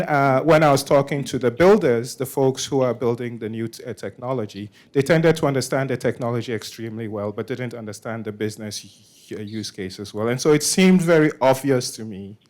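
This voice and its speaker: A man from Nigeria presents informally as the host of a meetup. His delivery is halting, and he often repeats words and restarts phrases as he explains. His voice sits at a medium pitch. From Nigeria, West Africa.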